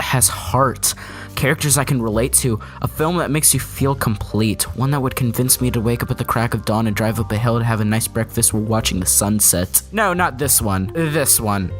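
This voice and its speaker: A young man narrating a scripted comedy movie review, sarcastic and deadpan, often jumping up in pitch for a joke. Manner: sarcastic, deadpan jokes with sudden pitch jumps.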